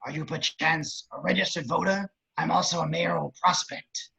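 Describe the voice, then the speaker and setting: A man playing a crude, lecherous, scheming villain running for mayor, with a very raspy voice and wisecracking delivery, in an amateur script read. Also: a little high, steady tone, normal speed, bright, forceful tone, full character voice. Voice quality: very raspy, gravelly. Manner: leering, wisecracking.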